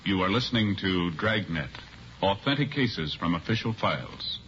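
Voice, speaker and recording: A man's voice: low-pitched, animated, normal speed, fairly bright tone. A man announcing in a formal, emphatic 1950s radio style. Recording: muffled vintage radio recording, faint noise.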